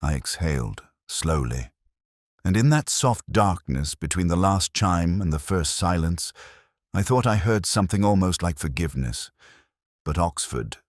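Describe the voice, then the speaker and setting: Man voicing a weary middle-aged inspector, extremely deep, rough-edged, somber, reflective, first-person mystery narration. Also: no room sound, studio quality, exaggerated voice. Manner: somber, reflective, measured literary phrasing. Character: weary, melancholy male English police inspector recounting a case.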